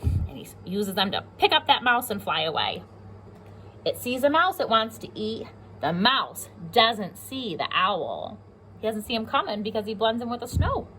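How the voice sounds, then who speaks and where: A woman's voice: a little high, sing-song, normal speed, bright, forceful tone, a little hiss or hum, poor audio. A woman with an American accent reading a picture book aloud to young children, explaining simply and asking them questions as a pre-K teacher.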